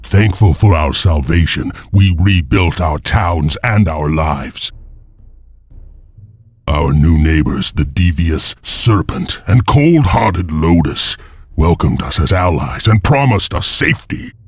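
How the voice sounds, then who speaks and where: Vengeful warrior heir, very low voice, slow, solemn, deliberate, fantasy game narration. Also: male voice, animated, slightly rough, no echo, soft background music, exaggerated voice. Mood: solemn, mournful. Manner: grave, deliberate, storyteller-like. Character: solemn, vengeful male heir of an enslaved wolf clan. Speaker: story narrator and lead character. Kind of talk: dramatic scripted game narration and dialogue.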